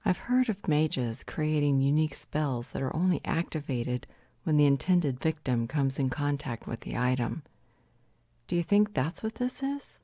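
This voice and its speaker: A woman's voice: deep voice, expressive, unhurried, dark tone, no room sound, studio quality, full character voice. A woman playing a serious, worried werewolf in a fantasy audio roleplay, with an earnest manner. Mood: grave, probing.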